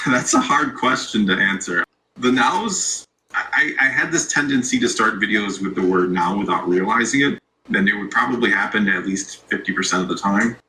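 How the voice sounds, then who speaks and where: A man with a fairly low but bright voice chats casually as an interview guest. He rambles and restarts his sentences as he goes. A faint background noise sits under the recording. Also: normal speed, poor audio. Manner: relaxed, rambling, many restarts. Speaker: YouTube creator, guest.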